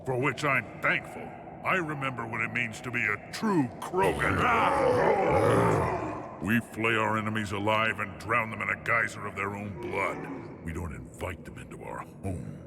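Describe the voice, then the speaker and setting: An actor playing a belligerent, vengeful alien warrior in a video game, with gravelly contempt and menace. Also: male voice, lowish voice, expressive, moderate pace, loud music behind the voice. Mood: scornful. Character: belligerent, vengeful male Krogan alien warrior, scornful and brutal.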